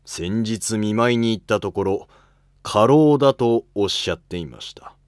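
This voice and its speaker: A man acts a loyal, deferential aide at an imperial court in a historical drama. He speaks formally and respectfully in a low voice with a dry, close sound. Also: animated, normal speed, no room sound, close to an everyday voice. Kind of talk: amateur historical drama dialogue.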